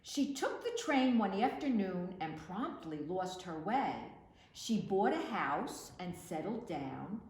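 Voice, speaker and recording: A woman reading picture books aloud for children in an expressive, deliberate storytelling delivery, recorded in a roomy space. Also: mid-range voice. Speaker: children's librarian, storytime reader. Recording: roomy echo with faint background noise.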